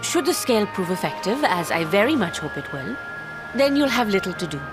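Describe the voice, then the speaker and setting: A woman's voice: medium pitch, very animated, fairly quick, lightly acted. An actress playing a determined, composed woman researcher in a fantasy video game, speaking formally and precisely. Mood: composed, resolute. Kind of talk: video game cutscene acting. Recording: voice over game music.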